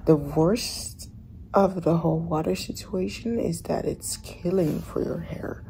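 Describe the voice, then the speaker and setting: A woman vlogging casually from a hotel room in the morning, her voice raspy and often dropping to a whisper. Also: lowish voice, measured pace, slight background noise. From Netherlands. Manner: soft, often whispering in the dark.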